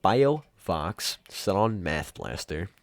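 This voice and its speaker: A man giving a casual, off-the-cuff software tutorial in an offhand, rambling and informal way. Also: low-pitched, sing-song, takes it slowly, no room sound. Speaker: tutorial presenter.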